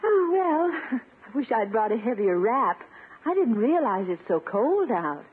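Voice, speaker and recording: Gushing, high-voiced radio romantic. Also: female voice, very animated, measured pace, slightly rough, narrow, tinny audio, exaggerated voice. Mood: coy, flirtatious. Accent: American. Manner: gushing, coy. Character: coaxing, romantic woman angling for a husband. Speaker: actress in a radio comedy. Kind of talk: 1950s American radio comedy acting. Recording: thin, faintly noisy 1950s radio recording.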